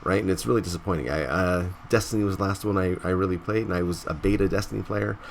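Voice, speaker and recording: A man's voice: sits low, fast, gravelly, a little hiss or hum. A man hosting a casual gaming vlog, stuffy from a cold, rambling with many restarts and tag questions. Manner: rambling, restarts often, says "right?". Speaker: gaming channel host.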